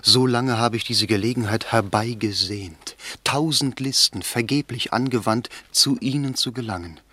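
An actor in a German radio play voicing a melancholy, lovesick young poet in a fervent, declamatory manner. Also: male voice, medium pitch, moderate pace, pushed and bright, no room sound. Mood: fervent, adoring.

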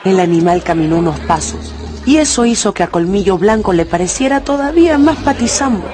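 A man's voice: sits high, expressive, a little fast. A man telling a brutal fight tale as a dramatic narrator in a scripted radio drama. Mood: urgent. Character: animated male storyteller of a brutal fight tale. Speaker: second narrator. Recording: loud music and crowd behind.

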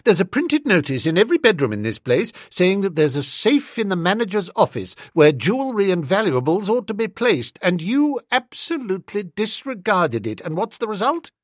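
Man acting a high-pitched, blustering young English toff. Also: huge pitch swings, normal speed, no echo, studio quality, heavily acted. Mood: stern, indignant. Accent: British upper-class. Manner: slangy, blustering, emphatic. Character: indignant young upper-class Englishman, breezy, blustering, foppish.

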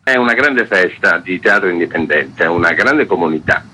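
Festival director, low husky voice, rambling with filler pauses. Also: male voice, expressive, bright, forceful tone, low-quality recording. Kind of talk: radio interview.

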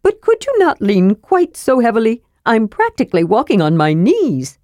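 A woman's voice: fairly high pitch, wildly swooping pitch, moderate pace, darkish tone, no room sound, voice pushed up. A woman voicing an eager, chattering male kender who protests indignantly. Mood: complaining. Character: cheerful chattering male kender, small and nimble.

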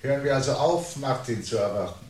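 An actor playing a brooding, articulate man who calmly awaits the world's end in a radio play, speaking in a measured, formal, sardonic way. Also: medium pitch, natural voice, low-quality recording. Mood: dryly sardonic. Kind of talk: 1970s German radio play acting. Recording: 1970s radio production with audible background noise.

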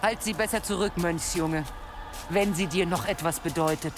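A woman's voice: on the low side, moderate pace, music in the background, lightly acted. A woman playing an ominous, taunting village prophetess in a German audio drama, speaking in a portentous, incantatory way. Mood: menacing, taunting.

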